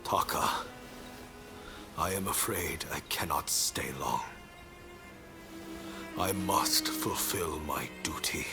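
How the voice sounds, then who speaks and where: A man's voice: rumbling low, slow pace, long pauses, exaggerated voice. A man playing a grave, devoted statesman in a video game, speaking formally and solemnly. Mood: solemn, tender. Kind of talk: video game cutscene acting. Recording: music behind the voice.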